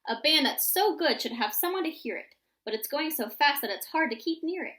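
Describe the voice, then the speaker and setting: Woman reading as bright, imaginative young storybook boy. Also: high-pitched, expressive, brisk, voice pushed up. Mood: musing, excited. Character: imaginative young boy, excitable, inventing tall tales.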